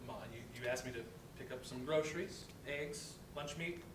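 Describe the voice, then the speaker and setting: Man acting gentle flattering adult son, stage play reading, roomy distant recording. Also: fairly high pitch, normal speed, natural voice. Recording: roomy, distant mic, noisy background.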